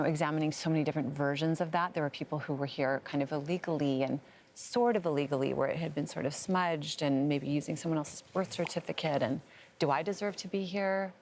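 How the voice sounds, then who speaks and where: A woman's voice: low-pitched, fairly even intonation, brisk, a little mellow, slight background noise. A woman on a debate panel, speaking earnestly and searching for words as she argues. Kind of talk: debate argument.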